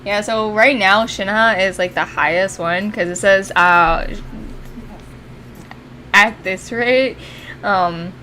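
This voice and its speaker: A woman's voice: mid-range voice, slow, long pauses, bright, forceful tone, slight background noise. A woman game streamer chatting casually and swearing often as she plays. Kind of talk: casual chat while streaming a video game. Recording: music in the background. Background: music.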